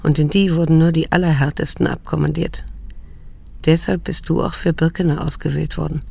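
An actress playing a wounded yet restrained middle-aged daughter in a radio drama, speaking in a measured, sober, pointed way. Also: female voice, low voice, steady tone, gravelly, a little mellow, husky, full character voice. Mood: probing, reproachful. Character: probing, reproachful daughter in her late fifties, wounded yet restrained. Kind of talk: German radio drama acting and narration.

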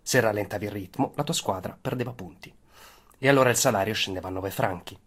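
A man's voice: on the low side, fast talker. A man reading a scripted dramatic narration as a storyteller.

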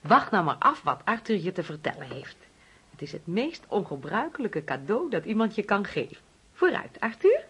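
A woman's voice: mid-range voice, very animated, normal speed, a bit bright, breathy edge, full character voice. An actress playing a doting, insistently hospitable middle-aged mother in a Dutch radio play, coaxing and fussing. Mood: coaxing, excited.

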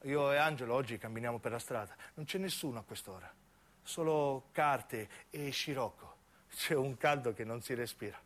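A man's voice: medium pitch, moderate pace, a bit bright. A man with a southern Italian accent narrating a first-person story theatrically, as a solo actor in a radio play. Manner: dramatic, acts out dialogue.

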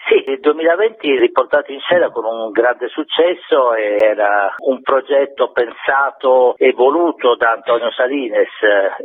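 Man, husky voice, medium pitch, theatre director being interviewed, thin telephone sound. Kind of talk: conversational radio interview. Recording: thin narrow-band telephone line.

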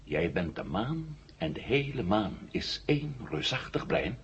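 A man's voice: fairly low pitch, big pitch swings, unhurried, husky, full character voice. An actor playing a cocky, determined hero questioning his captive in a 1970s radio drama, wry and commanding. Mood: probing. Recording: muffled, noisy old radio drama recording.

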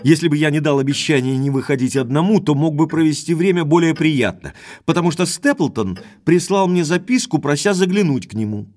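Man playing a young baronet, audio drama, frank, courteous, fairly high male voice. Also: fairly quick, hardly any pauses, a little mellow, natural voice. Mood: bored, curious. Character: Sir Henry, frank young baronet back from America. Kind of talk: Russian audio drama acting.

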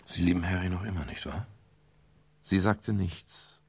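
An actor playing a brooding private detective who narrates his case in a measured, melancholy, literary way in a radio crime drama, with a gravelly, husky voice. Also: sits low, heavily acted. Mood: earnest. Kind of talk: 1970s German radio crime drama, first-person narration.

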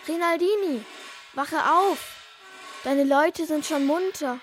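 Tender ballad teller in a radio play, high slow verse, faint noise behind. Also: female voice. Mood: tender, lyrical. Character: tender female storyteller reciting a robber ballad.

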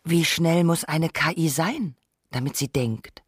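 A woman's voice: deep voice, measured pace, slightly rough, no echo. Woman, radio documentary narrator, scripted delivery, dry room-free sound.

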